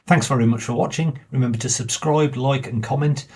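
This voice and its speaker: A man giving a plain, informal step-by-step walkthrough as the presenter of a software tutorial. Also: mid-range voice, a little fast, breathy edge. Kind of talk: step-by-step screen walkthrough narration.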